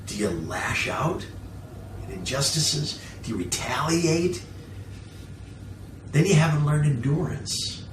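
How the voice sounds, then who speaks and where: Male pastor, slow deliberate sermon with emphatic repetition and pauses, recorded at a distance in a roomy space. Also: a little high. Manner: emphatic repetition, rhetorical questions, frequent pauses. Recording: roomy echo, distant mic, faint noise.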